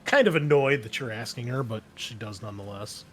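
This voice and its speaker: A man's voice: mid-range voice, brisk, a bit bright. A man running a tabletop roleplaying game, improvising narration in an informal, hesitant way. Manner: informal, hesitant phrasing with frequent "like". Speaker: game master.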